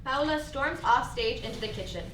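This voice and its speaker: A woman's voice: high-pitched, animated, a little fast, fairly bright tone. A woman reading stage directions as scripted narration at a live staged reading. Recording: distant mic, echoey room, clear background noise.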